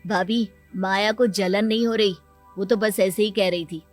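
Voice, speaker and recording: A woman's voice: mid-range voice, fairly even intonation, moderate pace, no echo, faint music behind, voice pushed down, natural voice. A woman voicing a mild, accommodating younger brother in a cartoon family story, speaking politely. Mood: conciliatory. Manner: polite, conciliatory, pleading. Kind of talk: Hindi cartoon story dialogue.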